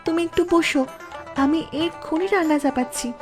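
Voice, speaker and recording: A high, girlish female voice plays a young village bride in a cartoon. She is gentle and encouraging. Music is clearly heard behind her. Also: fairly quick. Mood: reassuring.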